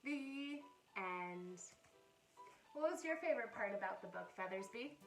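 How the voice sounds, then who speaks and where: With music playing behind her, a woman reads to children in storybook style. Her delivery is expressive and playful, and she speaks to her young listeners directly. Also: mid-range voice, a little slow. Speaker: camp director reading a picture book to children. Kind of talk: children's storybook read-aloud. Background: background music.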